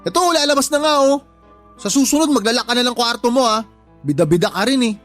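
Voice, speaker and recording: A man's voice: very high-pitched, quick pace, faint music behind. A man playing a drunk, defiant young son of the household in a radio drama, sneering, insulting and blaming others. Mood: sneering, irritated. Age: young adult. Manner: sneering, insulting, blame-shifting. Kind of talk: Tagalog radio drama acting.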